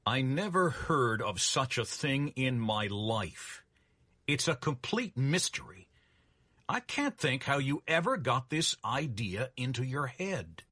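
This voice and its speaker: Storybook old man read by a woman: exasperated, urging, raspy edge, bright and forceful delivery. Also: a bass voice, sing-song, takes it slowly, dry recording, studio quality, voice pushed down, full character voice. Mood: exasperated, baffled. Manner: emphatic, urging. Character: old Irish hotel keeper, insistent, exasperated man.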